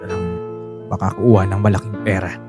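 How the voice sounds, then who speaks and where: A man's voice: sits low, flat delivery, brisk, natural voice. A man acting a stubborn Filipino husband over music. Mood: pleading, distressed. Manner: defensive, pleading. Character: stubborn, self-sacrificing working-class husband and father. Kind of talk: Tagalog radio drama acting. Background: musical underscore.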